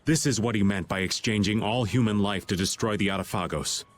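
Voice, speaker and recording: A man's voice: lowish voice, brisk, a little hiss or hum, close to an everyday voice. A man playing an easygoing, worldly middle-aged rogue with a wry, folksy manner in an anime game dub. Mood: grave.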